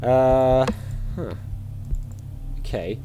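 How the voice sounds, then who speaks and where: A man's voice: mid-range voice, very slow, dark tone, muffled recording, a little hiss or hum. A man giving casual let's-play commentary as a channel host, chatting and thinking aloud in questions as he plays. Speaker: video game player and channel host.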